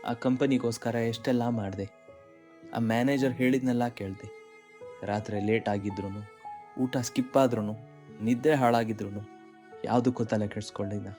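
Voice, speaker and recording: A man's voice: medium pitch, fairly even intonation, moderate pace, long pauses, a little mellow, soft background music, lightly acted. A man with an Indian accent playing an earnest, dejected young employee, apologetic and hesitant, in short-film drama dialogue.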